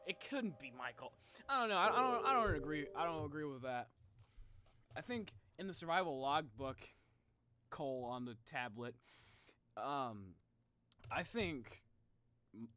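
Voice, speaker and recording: Young man, bright forceful voice, very lively pitch, casual streamer commentary, rambling, thinking aloud. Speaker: live streamer reacting to game videos. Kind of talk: casual livestream commentary and speculation.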